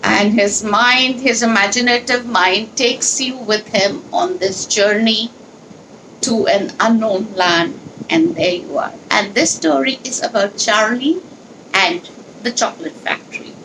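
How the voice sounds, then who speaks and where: A woman leading a children's read-along, speaking in an instructional, deliberate, explanatory way with pauses. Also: medium pitch, fairly bright tone, slight background noise, rough recording. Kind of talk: instructional talk and storybook read-aloud.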